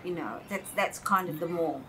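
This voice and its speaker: A woman, recalling family life in a relaxed, agreeable way during an interview. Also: fairly low pitch, moderate pace. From Australia. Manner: relaxed, agreeable, brief replies. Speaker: interview guest. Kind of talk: casual reminiscing conversation. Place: indoor room by large windows. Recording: audible background noise.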